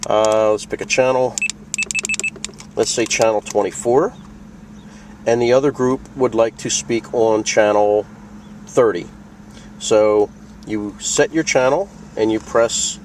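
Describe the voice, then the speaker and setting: A man's voice: medium pitch, darkish tone, slight background noise. A man presenting a product tutorial in a casual, plain-spoken, conversational way, walking through things step by step. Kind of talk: casual instructional walkthrough.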